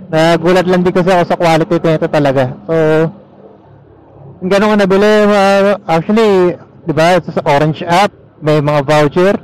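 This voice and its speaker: A man's voice: a little high, clean tone, rough recording. A man with a Filipino accent chatting casually and easygoing in Taglish as he vlogs from inside a motorcycle helmet. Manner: easygoing Taglish code-switching. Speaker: motorcycle vlogger. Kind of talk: casual rambling vlog chat while riding. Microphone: helmet intercom or action camera mic. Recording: helmet mic with engine and road noise.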